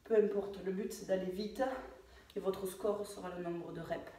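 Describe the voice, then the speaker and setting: A French fitness coach talking through a home workout, with many hesitations and fillers. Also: female voice, mid-range voice, fairly even intonation, normal speed, dark tone. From France. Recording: echoey room, voice far from mic.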